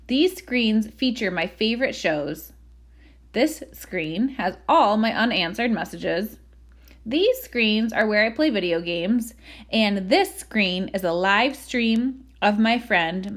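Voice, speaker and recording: A woman voicing a cheerful, smug, comfort-loving potato in an expressive, playfully dramatic picture book read-aloud. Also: fairly high pitch, heavily acted. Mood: boastful, delighted.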